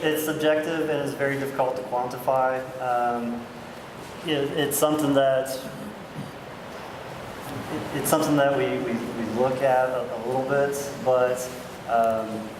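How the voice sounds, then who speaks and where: A man's voice: medium pitch, fairly even intonation, a little slow. A man, an agency official, answering public questions at a hearing with many ums and restarts. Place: public meeting hall. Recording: echoey hall, background noise.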